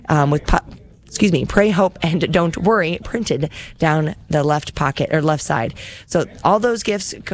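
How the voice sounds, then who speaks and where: A woman's voice: low voice, darkish tone. A woman hosting a radio pledge drive, chatty and upbeat, listing gifts and repeating the phone number. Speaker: radio fundraiser host.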